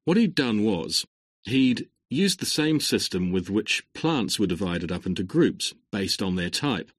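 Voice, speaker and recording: A man delivers a prepared lecture at an even, measured pace, leaning on linking words as he moves through it. His voice sits a little low and has a slight huskiness. The recording is dry and close, with no room sound. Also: no room sound. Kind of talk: scripted exam-recording lecture.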